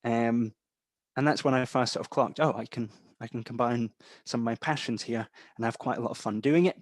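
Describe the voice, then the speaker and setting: A young man with a mild Scottish accent, softened by English parents, giving a chatty instructor's talk full of ums and a habit of asking "right?". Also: mid-range voice, brisk, no room sound. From Scotland. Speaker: data analytics instructor. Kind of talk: webinar-style lecture.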